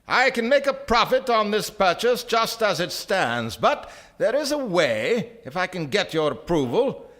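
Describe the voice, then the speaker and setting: A man playing a sly, self-assured gentleman speculator in a stage radio play, speaking formally like an orator. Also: sits high, full character voice. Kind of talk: stage radio drama acting.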